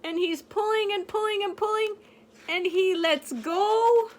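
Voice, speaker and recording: An older woman reading a picture book aloud to a child in a gentle, playful way, pointing out the pictures as she goes. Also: very high voice, measured pace. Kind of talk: storybook read-aloud to a child.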